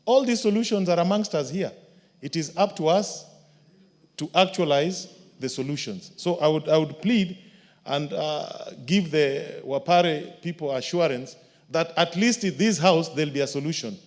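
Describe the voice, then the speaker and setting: A man, a member of parliament, speaking in a measured, formal way at a desk microphone in a parliament chamber. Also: a little high. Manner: measured, formal, solution-focused. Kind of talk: parliamentary floor speech. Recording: long reverb of a large chamber.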